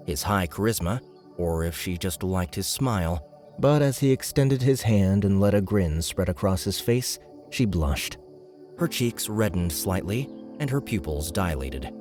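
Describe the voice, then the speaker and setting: A man with a very low voice narrates a fantasy audiobook. He reads in a scripted storytelling style. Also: animated, moderate pace, a little mellow, faint music behind.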